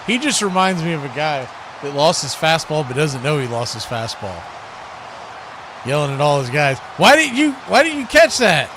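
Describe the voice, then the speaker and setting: A man's voice: fairly high pitch, sharp tone, a little hiss or hum. A man doing live football radio play-by-play in short, clipped, conversational calls. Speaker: play-by-play announcer.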